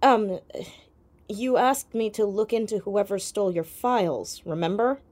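A woman's voice: mid-range voice, unhurried, a little mellow, natural voice. Persistent teenage girl sleuth played by a woman. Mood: curious, concerned. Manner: probing, direct questioning. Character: sharp, persistent fourteen-year-old girl detective. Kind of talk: audio drama acting, naturalistic.

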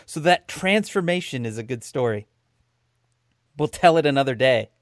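A man co-hosts a podcast in an easy, agreeable way, full of enthusiasm. His voice is animated and rises and falls a lot. The sound is dry and close. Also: medium pitch, normal speed, dry recording. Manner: agreeable, enthusiastic, short replies. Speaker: podcast co-host. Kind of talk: casual chat.

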